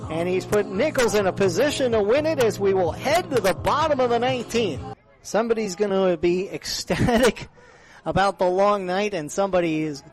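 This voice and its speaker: A man's voice: high-pitched, low-quality recording. A man doing live radio play-by-play commentary as a baseball announcer.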